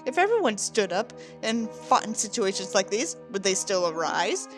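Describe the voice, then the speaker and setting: Female reader, theatrical and sarcastic, very animated pitch swings, mocking asides, music underneath. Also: moderate pace. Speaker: host reading a book aloud with asides. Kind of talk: sarcastic dramatic reading with commentary.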